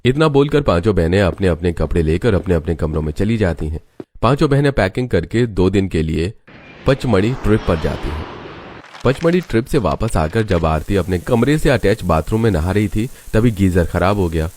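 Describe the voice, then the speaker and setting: A man's voice: deep voice, expressive, normal speed, a little mellow, a little hiss or hum. A man narrating a scripted moral story as its storyteller.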